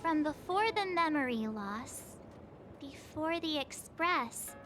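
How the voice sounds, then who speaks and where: A woman's voice: high voice, lively intonation, slow. A woman voicing a perky, naive young girl adventurer in a video game, with a chatty, informal manner. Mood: puzzled, apologetic. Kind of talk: scripted video game character dialogue. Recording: music behind the voice. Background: background music.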